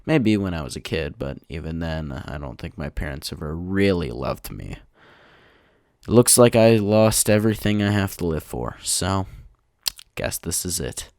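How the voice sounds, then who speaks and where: A man's voice: sits low, lively intonation, normal speed, darkish tone, no room sound, clean studio sound, voice pushed down. A man playing a bitter, despairing man in his late thirties who narrates his diary in an anguished, self-loathing audio drama style.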